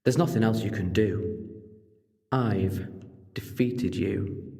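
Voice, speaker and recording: An actor playing a moral, commanding time-travelling alien hero in a sci-fi audio drama, with earnest, dramatic phrasing. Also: male voice, fairly low pitch, takes it slowly, vocal fry, warm tone. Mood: stern, commanding. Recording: long reverberant hall, music behind.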